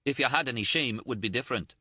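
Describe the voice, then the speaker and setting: A man voicing a tearful, scolding young Scottish nurse, shrill and sobbing in an audiobook. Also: racing, sharp tone, no room sound, muffled recording. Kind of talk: audiobook character voice.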